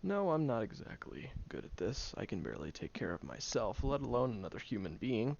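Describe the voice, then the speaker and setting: A man's voice: medium pitch, very animated, fairly quick, creaky, darkish tone. A man playing a sarcastic, exasperated tsundere young man who grudgingly looks after someone in an audio roleplay, speaking straight to the listener. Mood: grudgingly caring. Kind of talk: audio roleplay acting, spoken directly to the listener. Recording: dull lo-fi audio with faint noise.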